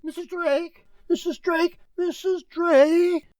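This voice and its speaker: A woman's voice: very high voice, steady tone, takes it slowly, darkish tone, dry recording, pitched above the performer's own voice. A woman playing a frantic, distraught household servant in a radio play, stammering with alarm.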